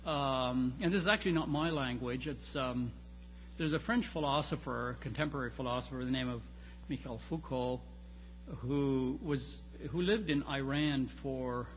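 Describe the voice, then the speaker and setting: Male academic lecturer, slightly gravelly, emphatic but halting delivery, speaking in a reverberant hall with some background noise. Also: mid-range voice, lo-fi sound. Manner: halting, searching phrasing with repeated starts and emphatic stresses. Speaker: lecturer on church history and theology. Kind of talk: informal academic lecture with slides.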